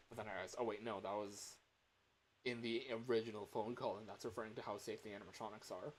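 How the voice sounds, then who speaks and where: A man with a worn-out voice chatting casually on a livestream, halting and rambling as he comments on games. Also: fairly low pitch, lively intonation, slight background noise. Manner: rambling, halting, breaks into chuckles.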